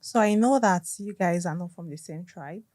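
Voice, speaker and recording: A woman's voice: fairly low pitch, normal speed, dark tone, no echo, studio quality. A woman hosting a podcast, asking friendly and curious questions. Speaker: podcast host. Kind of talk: conversational interview.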